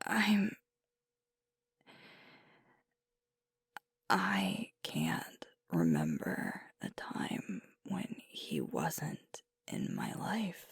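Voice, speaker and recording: Woman acting as sweet tired werewolf girlfriend, slow breathy asmr, lots of creak, halting and confiding. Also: extremely low pitch, no room sound, clean studio sound, exaggerated voice. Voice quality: soft, breathy, whispery. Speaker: ASMR voice actor. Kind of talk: ASMR roleplay, intimate one-sided conversation.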